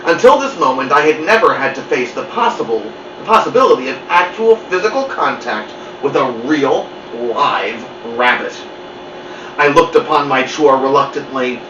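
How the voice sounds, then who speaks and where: Animated man reading a story, muffled and roomy. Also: mid-range voice, normal speed, background noise. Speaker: story-time reader. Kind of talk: animated children's book narration. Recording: muffled, roomy, distant mic.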